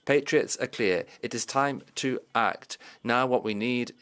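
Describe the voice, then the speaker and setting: A male interpreter rendering a forceful parliamentary speech into English, with frequent restarts and fillers. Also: mid-range voice, unhurried, smooth.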